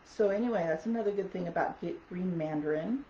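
A woman vlogger with a raspy, hoarse voice chatting casually about wellness products, with lots of ums. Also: on the low side, a little fast, dark tone. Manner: chatty, many ums, reads product notes aloud. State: hoarse from talking. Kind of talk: casual product talk to camera. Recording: echoey dull audio with faint noise.

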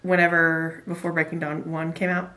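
A woman, chatting excitedly with a friend on a webcam in a small room, cutting in and acting out what she thought. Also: sits low, normal speed, rough recording. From Texas. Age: late 20s. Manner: excitable, jumps in, acts out quotes. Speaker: vlogger. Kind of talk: casual chat with a friend. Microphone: webcam in front.

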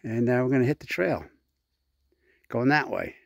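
A man's voice: medium pitch, expressive, vocal fry, dry recording, studio quality. Chatty man narrating a mountain hike. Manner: chatty, unhurried, reads signs aloud. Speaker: hiking vlogger. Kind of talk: casual trail narration to camera. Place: outdoors on a mountain trail.

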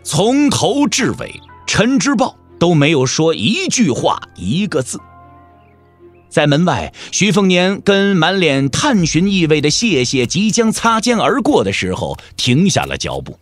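A man's voice: fairly high pitch, big pitch swings, measured pace, no room sound, faint music behind. A man narrating a martial-arts audio drama in a dramatic scripted style.

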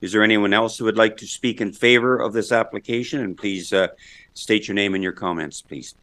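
A man's voice: lowish voice, brisk, bright, forceful tone. A man chairing a public council meeting in a courteous, procedural way.

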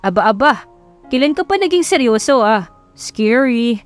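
A woman's voice: fairly high pitch, unhurried. Teasing young Filipino guy, voiced by a woman. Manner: joking, slangy, animated. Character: teasing young male drinking buddy. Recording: background music under voice.

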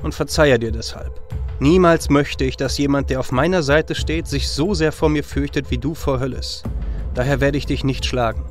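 A man playing a noble, repentant warrior hero in a fantasy audio drama, speaking earnestly and with measured solemnity. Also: medium pitch, soft background music, natural voice. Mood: gentle, forgiving.

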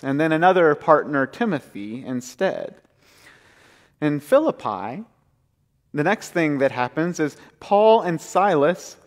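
A man in his thirties, a pastor, preaching a sermon from the pulpit and retelling scripture in a measured storytelling way. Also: on the high side. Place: church sanctuary, large, indoors. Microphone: pulpit mic, far camera. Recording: long church reverb.

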